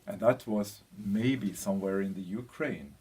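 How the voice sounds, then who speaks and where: A European man giving an informal explanatory lecture as a teacher, speaking in halting phrases with pauses and a husky voice. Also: on the low side, darkish tone.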